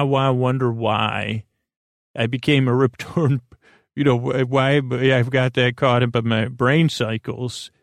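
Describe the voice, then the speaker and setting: Creaky-voiced man rambling through a bedtime podcast. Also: medium pitch, no echo, clean studio sound. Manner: meandering, halting, repeats and interrupts himself. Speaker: podcast host.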